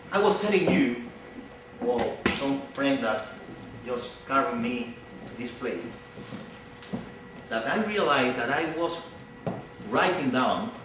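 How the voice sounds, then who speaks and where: A man's voice: mid-range voice, a bit bright, distant mic, a little hiss or hum. Cuban-accented man lecturing in an echoey hall. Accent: Cuban Spanish. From Cuba. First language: Spanish. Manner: earnest, searching for words, repeats phrases. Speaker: visiting speaker. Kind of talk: public lecture.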